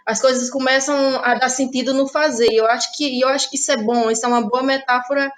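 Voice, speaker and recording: A woman's voice: high voice, steady tone, fast. A woman from Brazil, reflectively telling about her own work as an interview guest. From Ceará, Brazil. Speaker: interviewed playwright and actress. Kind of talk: conversational personal account.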